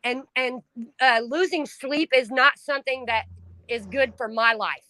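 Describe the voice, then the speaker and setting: A middle-aged woman talking on a home stream, loud and blunt, swearing freely, her voice climbing when she gets upset. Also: sits high, a little slow, no echo, poor audio. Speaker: stream co-host. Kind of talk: emotional casual chat.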